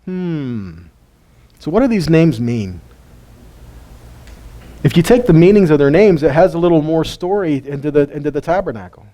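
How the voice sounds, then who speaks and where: A man's voice: fairly high pitch, expressive, normal speed, pauses often, warm tone. Folksy man teaching scripture. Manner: folksy, asks the listener questions, chuckles often. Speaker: Bible teacher. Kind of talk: casual Bible teaching.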